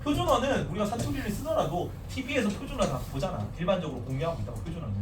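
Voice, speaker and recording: Animated male teacher with a Gyeongsang lilt. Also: high voice, very fast. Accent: standardized Gyeongsang Korean. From Gyeongsang region. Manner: animated, talks to students casually, restarts and asides. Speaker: social studies teacher. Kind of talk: classroom lecture. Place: classroom. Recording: echoey classroom, distant mic, faint hiss.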